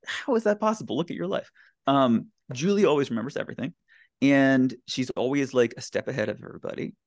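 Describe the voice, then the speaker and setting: A man speaking as a podcast guest in casual, enthusiastic discussion, with emphatic and halting phrasing. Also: mid-range voice, vocal fry, no room sound, studio quality. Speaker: podcast guest, blogger and writer.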